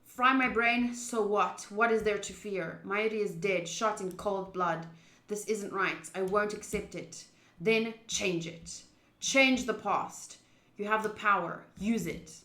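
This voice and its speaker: Bright, echoey woman reading dramatically. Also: mid-range voice, slow. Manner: expressive, dramatic, with excitable interjections. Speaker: streamer playing through a visual novel. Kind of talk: reading game narration aloud with reactions. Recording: roomy echo.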